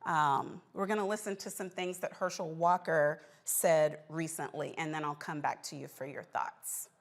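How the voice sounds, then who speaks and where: A woman works as the host of a panel discussion. Her voice sits on the low side. She moderates in a conversational talk show style. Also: steady tone, moderate pace, gravelly.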